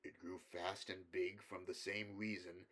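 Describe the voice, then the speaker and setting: A man's voice: low-pitched, flat delivery, measured pace, fairly bright tone. A man voicing a grave, learned figure who speaks carefully and solemnly in a horror story reading. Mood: grave, measured.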